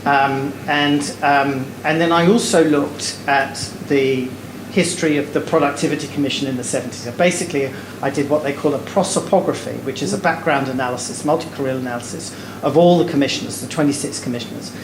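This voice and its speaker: A man's voice: medium pitch, brisk, hardly any pauses. A man answering an audience question on a panel stage, wry and digressive with many fillers. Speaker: lecturer. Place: stage before a live audience in a hall. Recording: echoey hall, air conditioning hum.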